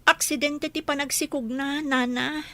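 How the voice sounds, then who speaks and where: A woman's voice: high-pitched, fairly even intonation, a little slow, a bit bright, no room sound. A woman playing an anxious, pleading younger woman who speaks respectfully to her elder in an emotional radio drama.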